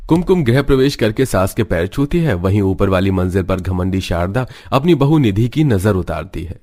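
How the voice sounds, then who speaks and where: A man's voice: on the low side, animated, normal speed, a little mellow. A man reading scripted narration for a cartoon story.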